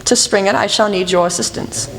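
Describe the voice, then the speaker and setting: A woman playing a shrewd, commanding female detective in a radio play, speaking crisply and formally. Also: medium pitch, brisk, slight background noise, natural voice. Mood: commanding, determined. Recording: reverberant hall, faint music.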